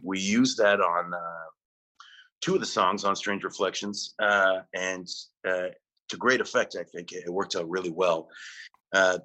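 A male musician answering interview questions, halting often with fillers and restarts. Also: low voice, bright, forceful tone, poor audio. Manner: hesitant, many fillers and restarts. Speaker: musician guest.

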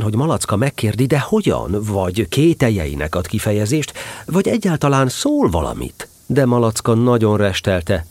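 A man narrating a storybook tale in an expressive, animated way. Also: lowish voice, no echo.

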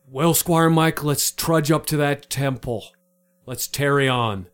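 A man's voice: on the high side, slow pace, clear, resonant tone. A man playing a drunken, dramatic knight whose grand, pompous phrasing keeps slipping into casual slang.

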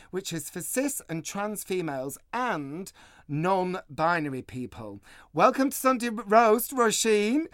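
A man in his forties hosting a radio talk show, presenting in a clear, measured way with quick asides. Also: sits high, a bit bright, no echo, clean studio sound. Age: 40s. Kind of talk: scripted radio monologue and interviewing.

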